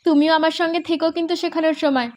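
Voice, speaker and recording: A woman voicing an earnest, eager young village daughter in an animated cartoon. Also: very high-pitched, rapid-fire.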